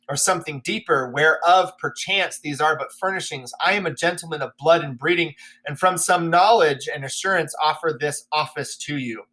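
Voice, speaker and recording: A man's voice: fairly high pitch, pushed and bright, rough recording. A man voicing a loyal nobleman in disguise who gives earnest, urgent instructions.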